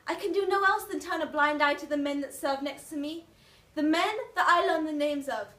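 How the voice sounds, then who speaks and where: Woman playing patriotic soldier, declamatory slam poetry, bright, echoey, distant mic. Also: high voice, brisk. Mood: grim. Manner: declamatory, impassioned. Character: patriotic young English soldier, fervent, later desperate. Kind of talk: dramatic slam poetry recital. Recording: noisy, echoey room, mic far off.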